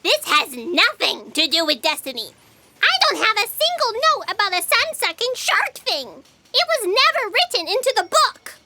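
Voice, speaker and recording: A woman playing a frantic, high-strung female writer in a sci-fi comedy audio drama, whiny and agitated. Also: very animated, moderate pace, heavy background noise, heavily acted. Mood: indignant.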